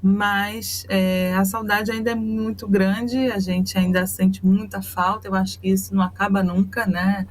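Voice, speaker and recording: A woman from Rio de Janeiro with a Carioca accent, a journalist guest speaking reflectively and tenderly, with frequent pauses. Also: medium pitch, level tone, a little hiss or hum. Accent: Carioca Brazilian Portuguese. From Rio de Janeiro, Brazil. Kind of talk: personal interview.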